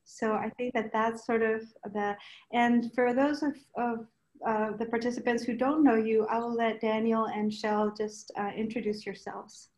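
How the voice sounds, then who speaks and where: Hesitant woman host, higher voice. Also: level tone, moderate pace, a little mellow, poor audio. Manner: hesitant, many "um"s. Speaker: project host. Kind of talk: introducing participants.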